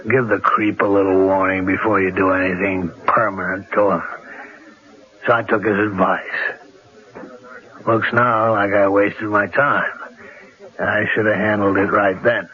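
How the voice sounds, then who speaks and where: An actor playing a menacing, mocking crime boss in a radio drama, with rough street-tough, colloquial diction. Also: male voice, lowish voice, flat delivery, a little slow, long pauses, lightly acted. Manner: threatening, taunting, colloquial. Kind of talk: 1970s radio drama acting. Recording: muffled old radio drama broadcast.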